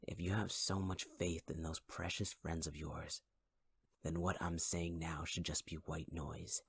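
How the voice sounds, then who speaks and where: A man voicing a taunting young male fire villain in an audio roleplay, speaking in a husky, mocking, intimate voice with close whispers. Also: low-pitched, heavily acted. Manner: mocking, cruelly patient, intimate. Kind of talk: ASMR audio roleplay acting.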